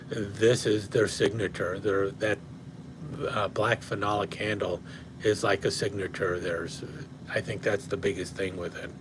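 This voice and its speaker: Rambling man, voice going hoarse. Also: fairly low pitch, background noise. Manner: rambling, many fillers and restarts. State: slightly hoarse, losing his voice. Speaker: knife collector livestream host. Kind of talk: rambling casual livestream talk. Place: at home indoors.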